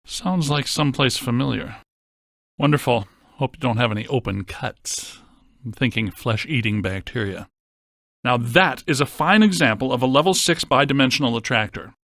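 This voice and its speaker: Nerdy ghost hunter scientist man from a video game, deadpan, technical, super dry studio sound. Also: mid-range voice, normal speed, no room sound. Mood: deadpan, analytical. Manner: deadpan, precise technical wording. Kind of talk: scripted video game voice acting.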